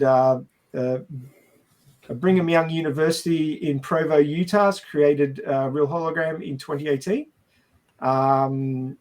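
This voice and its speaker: A man talking casually about film and science as a podcast panelist, explaining things haltingly with a wry, jokey streak. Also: a little high.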